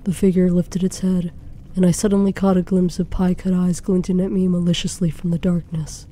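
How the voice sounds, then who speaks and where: Mellow, even-toned woman narrating fiction. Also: fairly low pitch, a little fast. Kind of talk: dramatic first-person story narration. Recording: faint background noise.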